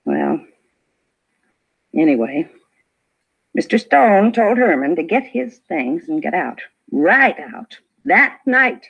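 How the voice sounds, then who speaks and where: A huffy, self-important busybody woman in an old radio drama. Her voice is husky and swoops about as she argues in clipped bursts. The vintage recording is dull. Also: on the low side, huge pitch swings, slow, long pauses, exaggerated voice. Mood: gossipy, indignant. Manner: huffy, argumentative, clipped emphatic phrasing. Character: nosy, prickly, self-important gossiping female neighbor. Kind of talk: 1950s radio drama acting.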